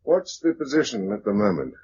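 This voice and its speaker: A man's voice: fairly low pitch, sing-song, fairly quick, darkish tone. An actor playing a practical, dry young British man in a radio drama, speaking in a clipped, matter-of-fact way. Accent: British English. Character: practical, dry young Englishman surviving a catastrophe. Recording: muffled radio drama recording, faint noise.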